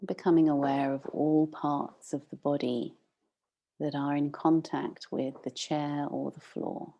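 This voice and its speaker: Female meditation guide, deep relaxed tone with creaky edges, unhurried and gently instructive. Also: muffled recording. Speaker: meditation teacher.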